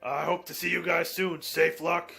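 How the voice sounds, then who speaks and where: A man's voice: a little high, level tone, moderate pace, creaky, sharp tone, pitched above the performer's own voice. A man voicing a friendly, eager fantasy ally in an upbeat, chummy manner. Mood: grateful, chummy.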